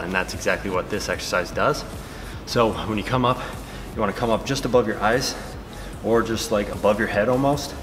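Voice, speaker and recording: A man in his thirties giving casual workout tips to his camera in a large gym, with filler words. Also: on the low side. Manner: relaxed, talking between sets with fillers. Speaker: fitness vlogger and trainer. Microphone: camera-mounted mic, camera on a stand. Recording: reverberant gym hall.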